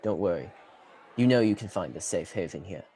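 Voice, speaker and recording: Teen actor as a protective fantasy tavern keeper. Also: male voice, deep voice, animated, brisk, dark tone. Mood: welcoming. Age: teens. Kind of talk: student radio theatre acting.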